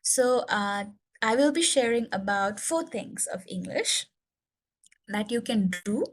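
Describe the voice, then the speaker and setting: A woman's voice: a little high, animated, unhurried. A young woman from Nepal with a Nepali accent, native speaker of Newari, earnestly sharing her English learning story with many fillers. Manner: earnest, explanatory, frequent uh and um fillers. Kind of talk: prepared personal talk to learners.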